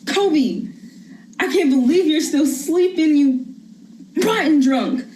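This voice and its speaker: An actress playing a desperate young mother who softly pleads with her small son to put down a gun, then turns angry, acting a monologue over a video call. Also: a little high, a little slow, a little hiss or hum, natural voice, poor audio. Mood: furious. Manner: shifts from soft pleading to angry threats. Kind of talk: acted dramatic monologue.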